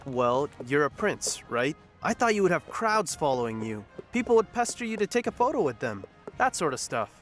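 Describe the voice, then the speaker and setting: Casting: female actor for frank, curious sidekick, animated intonation, questioning, audio drama. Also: deep voice, moderate pace, soft background music.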